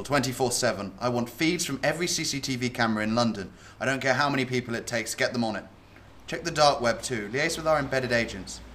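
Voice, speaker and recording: A man playing a stern, demanding security service chief in a radio thriller, brisk and commanding. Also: mid-range voice, fairly bright tone, close to an everyday voice. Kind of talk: radio thriller drama acting.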